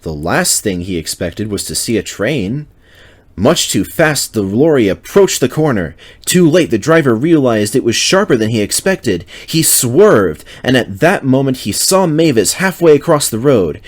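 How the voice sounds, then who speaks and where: A man's voice: mid-range voice, sing-song, unhurried. A man reading a children's story aloud as narrator, in a scripted, dramatic storytelling style.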